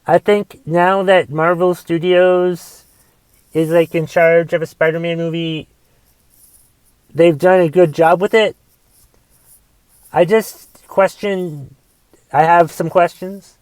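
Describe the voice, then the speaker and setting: A man in his forties with a raspy voice from a cough, casually rambling through a movie review on his podcast and thinking aloud with lots of fillers. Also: a little high, steady tone, slow pace, long pauses, a little mellow, dry recording. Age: 40s. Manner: thinks aloud, musing questions, trailing fillers. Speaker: podcast host.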